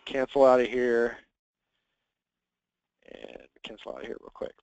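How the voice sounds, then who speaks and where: A man leading a software training conference call, talking conversationally and instructively as he walks listeners through things. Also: medium pitch, level tone, unhurried, smooth, no echo, muffled recording. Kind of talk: conversational screen-share walkthrough. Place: conference call with screen share.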